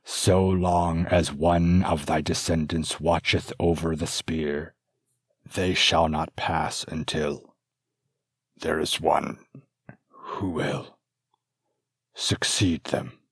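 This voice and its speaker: A man voicing a solemn old master who speaks slowly in an archaic way, with a very deep, husky voice. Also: flat delivery, dry recording, heavily acted. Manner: slow, solemn, archaic speech.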